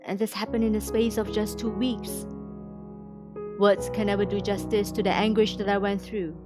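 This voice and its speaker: A woman from Indonesia reads a scripted inspirational testimony in a formal, measured way. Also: medium pitch, level tone, music in the background. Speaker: testimony speaker.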